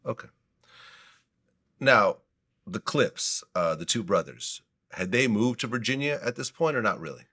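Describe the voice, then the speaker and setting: A man's voice: on the low side, lively intonation, a little slow, pauses often, bright, forceful tone, breathy edge. A man hosting an interview, asking direct, leading questions. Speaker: interview host.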